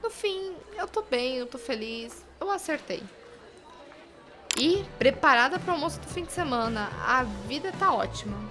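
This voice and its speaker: A woman's voice: high voice, lively intonation, a little slow, sharp tone, faint music behind, heavily acted. A Brazilian woman playing a bubbly, self-questioning young professional in an audio drama. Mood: self-reassuring. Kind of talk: audio drama dialogue and monologue.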